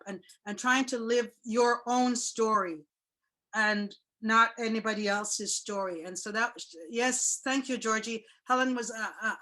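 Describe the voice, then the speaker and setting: A woman telling a personal story in casual chat over a video call, with a loud, chatty way of talking. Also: on the high side. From Jamaica. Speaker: guest speaker.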